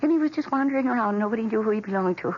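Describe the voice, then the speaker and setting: An actress with an American accent playing a lonely, timid middle-aged housewife in a radio drama, pleading hesitantly and earnestly. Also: female voice, fairly high pitch, lively intonation, very fast, natural voice. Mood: eager, coaxing. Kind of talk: vintage radio drama acting. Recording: dull, noisy old radio recording.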